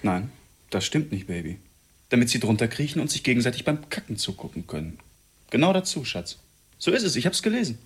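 An actor playing a cocky, crude, sweet-talking outlaw killer with a rough voice in a German radio drama. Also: male voice, medium pitch, sing-song, fairly quick. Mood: smug, teasing.

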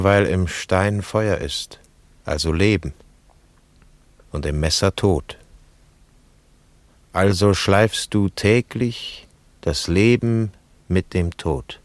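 Very deep, brooding mourner. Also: male voice, no echo. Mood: wary, questioning. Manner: measured, solemn, questioning. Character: brooding, searching mourner hunting a child's murderer. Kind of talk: poetic radio play acting.

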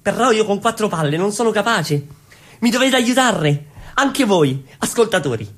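An actor playing an excitable, clownish dreamer of revolution in theatrical comic radio storytelling, exclaiming and repeating his phrases. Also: sits high, normal speed. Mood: pleading. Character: excitable male dreamer revolutionary with magic balls, begging wishes.

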